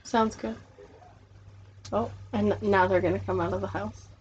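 A woman's voice: medium pitch, steady tone, moderate pace, slightly rough. A woman guest on a podcast, chatting casually in brief offhand remarks. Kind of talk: casual chat. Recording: muffled lo-fi recording.

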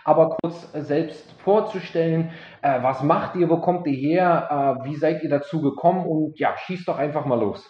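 A man's voice: fairly high pitch, normal speed, reverberant, lo-fi sound. A man hosting a travel podcast from his office, talking casually with many fillers and restarts. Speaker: podcast host, tour operator. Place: office, talking over a call.

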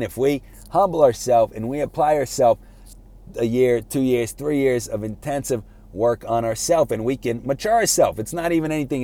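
A man giving an informal motivational lecture in a halting, conversational way, often repeating words and posing rhetorical questions. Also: medium pitch, warm tone. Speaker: speaker giving a self-improvement talk.

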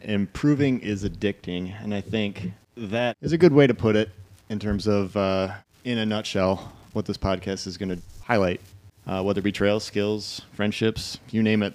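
A man's voice: fairly low pitch, a little mellow. A man in his thirties hosting a podcast in a casual, conversational style. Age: 30s. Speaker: podcast host.

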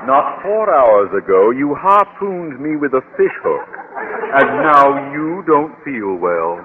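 An actor playing a pompous, irritable, sarcastic middle-aged school principal in a radio sitcom, blustering theatrically. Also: male voice, medium pitch, sing-song, slow, phone-quality sound. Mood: irritated, sarcastic. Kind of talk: 1950s radio sitcom acting. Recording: old radio recording, clear hiss.

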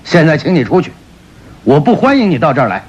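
An actor playing a proud middle-aged French marquis and doting father who is easily offended, in an expressive, theatrical radio drama manner. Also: a little high, fast talker, breathy edge, highs cut off. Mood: indignant, angry. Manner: expressive, theatrical delivery with exclamations. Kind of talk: Mandarin radio drama acting.

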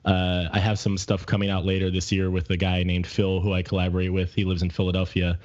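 Deep-voiced man, slightly dull recording. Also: completely flat delivery, fast talker, a little mellow. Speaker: podcast co-host and musician. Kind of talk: casual personal conversation.

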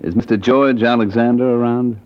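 An actor playing a cocky small-time jazz pianist turned blackmailer in old American radio detective style, talking in glib slang. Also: male voice, medium pitch, fairly even intonation, brisk, natural voice. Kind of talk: 1940s-50s American radio detective drama acting. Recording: dull old radio drama recording.

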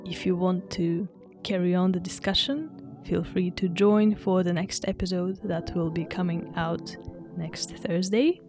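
A woman's voice: on the low side, steady tone, unhurried, few pauses. A woman podcast host reading a scripted outro in a friendly announcing way. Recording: voice over background music.

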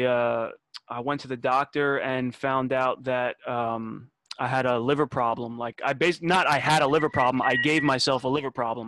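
A man's voice: mid-range voice, a bit bright, dry recording. A man in his twenties talking casually on a podcast, laid-back, swearing and restarting his sentences. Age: 20s. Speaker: podcast host.

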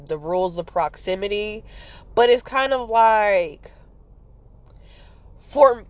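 A woman's voice: medium pitch, no echo. A woman with a stuffy nose giving a casual, blunt commentary monologue as a podcast host, rambling and full of fillers and swearing.

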